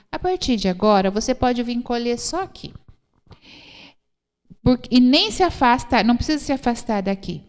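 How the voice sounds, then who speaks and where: A woman's voice: on the high side, clean tone. A woman with a Brazilian Portuguese accent preaching a church sermon, explaining scripture didactically and repeating phrases for emphasis. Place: church hall, speaking to a congregation. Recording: reverberant hall sound.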